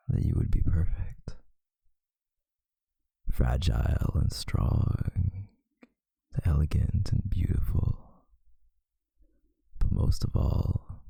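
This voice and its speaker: A man voicing a possessive yet tender male vampire lover in an intimate, hushed ASMR roleplay, often slipping into a whisper. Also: no echo, full character voice. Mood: adoring, reassuring. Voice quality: very deep, breathy, gravelly, often whispering. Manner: slow, hushed, soothing, seductive.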